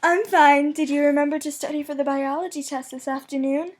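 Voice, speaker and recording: A young woman voicing a calm, studious teenage boy in a fan fiction reading, composed and matter-of-fact. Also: high voice, fairly quick, heavy background noise, natural voice.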